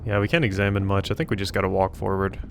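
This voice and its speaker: A man's voice: low voice, steady tone, very fast, vocal fry, a little hiss or hum. A man giving casual let's play commentary, musing aloud in a wry way as he goes through a video game.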